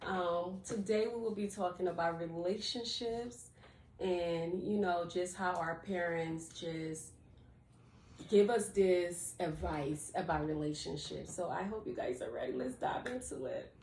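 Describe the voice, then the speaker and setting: A woman from Liberia co-hosting a casual chat. She is animated, laughs often and repeats words as she starts her sentences. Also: on the low side, measured pace, roomy sound, a little hiss or hum. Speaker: co-host.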